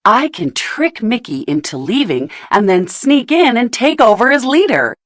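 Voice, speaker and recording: A woman's voice: fairly high pitch, very animated, normal speed, no echo, studio quality, voice pushed up. A woman voicing a scheming, sulky male cartoon cat in a storybook, given to exclaiming. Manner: exclaiming, stammering when flustered. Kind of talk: storybook character voice.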